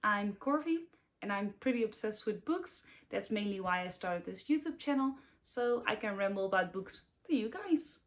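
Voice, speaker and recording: A woman's voice: medium pitch, lively intonation, moderate pace. A woman in her twenties from the Netherlands with a Dutch accent, chatting casually and a little ramblingly about her favorite books in a vlog. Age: 20s. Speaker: book vlogger. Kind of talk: casual book review vlog.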